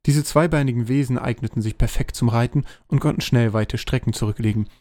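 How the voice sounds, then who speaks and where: A man's voice: mid-range voice, fairly quick, a little mellow, no room sound. A man narrating a scripted fantasy audio drama as a storyteller.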